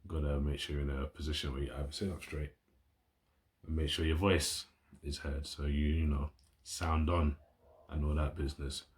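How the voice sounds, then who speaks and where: A man's voice: very deep voice, flat delivery, normal speed. A man chatting casually to his phone camera at home, rambling and enthusiastic as he shows off his gear. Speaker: YouTuber. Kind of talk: casual vlog talk to camera. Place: indoors at home, at a table. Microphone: phone camera, built-in mic.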